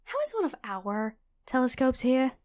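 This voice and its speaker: A woman playing a nerdy, chatty young student in an audio roleplay, expressive and flustered, often apologizing and correcting herself. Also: sits high, normal speed. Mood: curious, awkward. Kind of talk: audio roleplay acting addressed to the listener.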